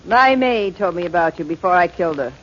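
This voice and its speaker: A woman's voice: fairly low pitch, animated, fairly quick, fairly bright tone. An actress playing a confident, clever woman amateur sleuth in a 1940s radio drama, poised and coy in manner. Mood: cool, coy. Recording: muffled, noisy 1940s radio recording.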